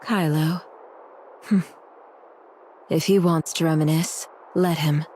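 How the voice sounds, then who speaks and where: A woman's voice: deep voice, steady tone, takes it slowly, a little mellow, slight background noise, rough recording. A woman voicing a sardonic, commanding doctor in an anime game, with a dry, weary, curt way of talking. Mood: wry.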